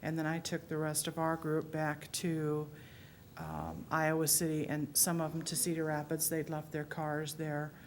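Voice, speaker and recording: A woman's voice: measured pace, a little hiss or hum. A middle-aged woman in an audience, speaking earnestly and with emotion about her memories. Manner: earnest, emotional, low and even. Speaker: audience member. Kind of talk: personal reflection at a public talk. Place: public library meeting room.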